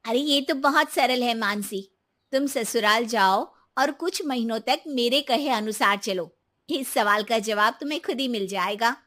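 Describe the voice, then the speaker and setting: A woman's voice: fairly high pitch, a little slow, sharp tone. A woman voicing a self-assured, assertive young sister-in-law with a firm, pointed manner in a cartoon moral story. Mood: advising.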